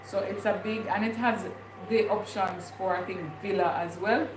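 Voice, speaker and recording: A woman's voice: mid-range voice, fairly even intonation, normal speed. A young woman with a Jamaican accent chatting casually on a livestream, rambling and thinking aloud with many fillers. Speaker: vlogger. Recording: echoey room with clear background noise.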